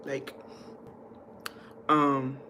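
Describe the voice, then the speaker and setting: A woman's voice: a bass voice, little pitch movement, extremely slow, noisy background. A woman from South Africa with a South African accent, hosting a casual livestream, chatting directly to viewers and often repeating herself. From Mpumalanga, South Africa. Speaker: livestream host. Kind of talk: casual live chat, reading comments and telling anecdotes.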